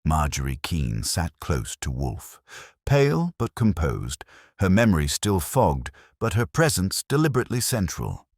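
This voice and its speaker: Super deep male audiobook narrator, lively pitch swings, bit husky, dry sound. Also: unhurried, no echo. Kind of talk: scripted audiobook narration of a detective story.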